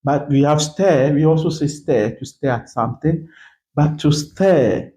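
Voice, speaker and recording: A young man with a Ghanaian accent in a playful casual chat, protesting loudly and laughing often. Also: a little high, measured pace. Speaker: co-host. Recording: roomy echo.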